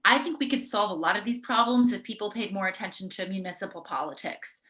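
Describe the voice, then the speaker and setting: Actress as bright, earnest organizer woman. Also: mid-range voice, quick pace, natural voice. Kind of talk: live staged play reading.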